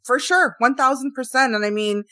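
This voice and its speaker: A woman hosting a casual music interview, upbeat and quick to affirm her guest. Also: sits high, sharp tone, no room sound, studio quality. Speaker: interview host.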